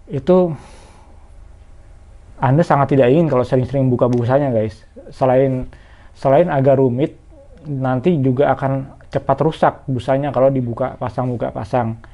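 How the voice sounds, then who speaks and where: Mellow man reviewing gear casually. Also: mid-range voice, highs cut off. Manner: conversational, explanatory, addresses viewers directly. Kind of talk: casual product review vlog.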